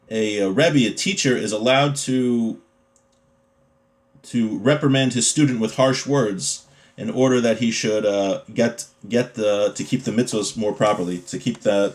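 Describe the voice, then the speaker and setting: Male religious teacher, medium pitch, informal lesson style, explanatory, rhetorical questions.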